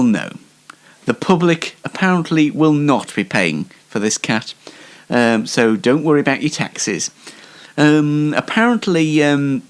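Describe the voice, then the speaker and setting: A man hosting a casual online show, talking in a halting, self-deprecating and wry way. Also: mid-range voice. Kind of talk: casual live show monologue with light news commentary.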